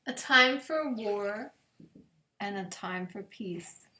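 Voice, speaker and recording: A woman pastor reading scripture aloud as a children's bedtime story, in measured, deliberate phrases with long pauses. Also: medium pitch, sharp tone, a little hiss or hum. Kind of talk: gentle read-aloud of scripture picture books. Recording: echoey room.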